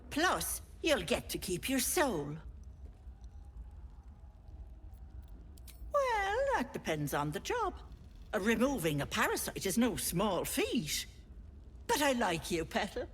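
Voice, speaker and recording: An actress playing a bossy, sly old hag posing as a sweet auntie, with cloying pet names that turn into scolding threats, in a video game. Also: female voice, medium pitch, a bit bright, husky, full character voice. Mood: scornful, bargaining. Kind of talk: theatrical video game character acting.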